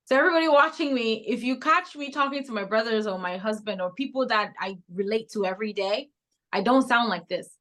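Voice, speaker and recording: A woman's voice: fairly high pitch, fairly quick. Cameroonian woman telling her story. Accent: Cameroonian English, softened toward American. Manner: reflective, animated storytelling with frequent laughs. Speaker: podcast guest. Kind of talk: casual interview conversation.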